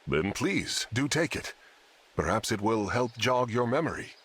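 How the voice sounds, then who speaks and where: A man playing a dutiful older knight retainer in a video game, husky, with formal and courteous delivery. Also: mid-range voice, sing-song, measured pace, fairly bright tone, heavily acted. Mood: courteous, calm. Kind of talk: scripted video game voice acting.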